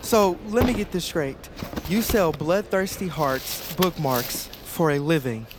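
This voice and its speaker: High-pitched, fussy male volunteer played by a man. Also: unhurried, close to an everyday voice. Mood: officious, puzzled. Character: officious, awkward convention volunteer. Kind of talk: comedic scripted audio drama acting. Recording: clear background noise and ambience.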